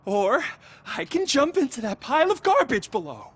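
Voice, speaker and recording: A man's voice: very high-pitched, very animated, moderate pace, a bit bright, husky, no room sound, heavily acted. A man playing a nervous, cornered small-time drug criminal in a video game, whining in street slang. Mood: desperate.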